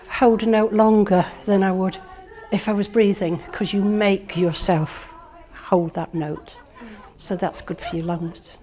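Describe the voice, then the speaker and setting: Chatty older woman, mellow voice. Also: medium pitch. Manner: chatty, cheerful, down-to-earth. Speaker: singing group participant. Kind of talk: casual interview testimony.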